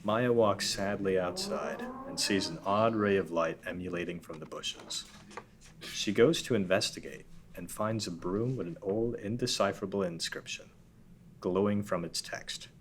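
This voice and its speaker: A man reading out scene directions in an even, matter-of-fact way at a table read. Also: on the low side, normal speed, slight background noise.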